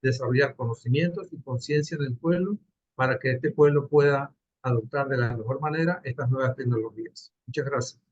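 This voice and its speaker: A man from Venezuela presenting a project in an online class, earnest and halting, with fillers. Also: medium pitch, slightly rough, no echo. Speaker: course participant presenting a project. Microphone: video call. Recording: dull dry video call audio.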